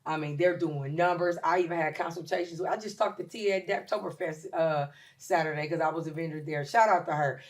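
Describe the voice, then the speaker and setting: A woman in her late forties, a chef and caterer talking as a guest in a casual podcast interview, emphatic and lively, with many ums. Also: deep voice, a little fast, few pauses. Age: 40s. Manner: lively, emphatic, with shout-outs and filler ums.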